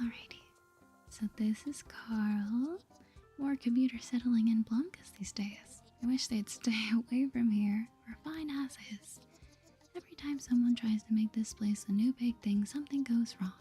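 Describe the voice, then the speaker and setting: A woman softly whispering an ASMR game playthrough in a gentle, playful way, reading dialogue aloud and thinking out loud. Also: on the high side, normal speed, soft background music. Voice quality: breathy, mostly whispered. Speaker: gaming video host.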